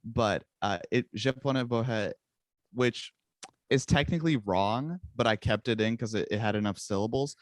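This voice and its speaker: Young man, first language Czech, low voice, dry close sound, rambling, self-mocking, laughs a lot. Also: moderate pace, no room sound. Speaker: musician guest. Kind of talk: casual interview chat.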